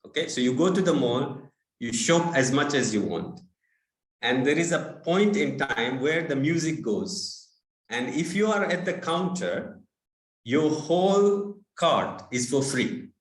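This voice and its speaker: Guest speaker on a video call, man, unhurried and halting, roomy sound. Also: a little high, expressive. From India. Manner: halting, many fillers, friendly. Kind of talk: online talk. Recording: echoey room.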